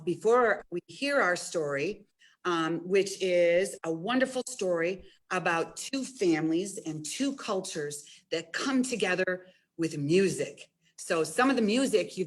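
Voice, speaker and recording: A woman presenting a storytime as a children's librarian, talking directly to young listeners in an encouraging way and reading a picture book aloud. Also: lowish voice.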